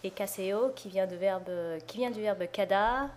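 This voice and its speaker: A woman's voice: medium pitch, normal speed, darkish tone. A woman co-hosting a Korean lesson, explaining things casually. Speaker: co-host of a Korean language lesson. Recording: clear background noise.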